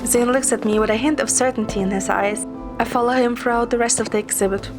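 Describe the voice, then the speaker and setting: A woman's voice: fairly high pitch, fairly even intonation, fast. A woman narrating a scripted story in the first person. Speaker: story narrator, amateur voice performer. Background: clear background music.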